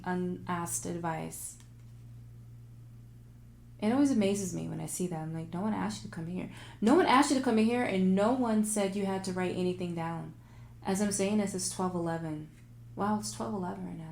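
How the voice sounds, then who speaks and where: A woman hosting a tarot livestream in casual, animated, informal talk, thinking aloud and repeating phrases for emphasis, her voice turning a little raspy. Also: medium pitch. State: voice getting raspy. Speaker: tarot reader and livestream host.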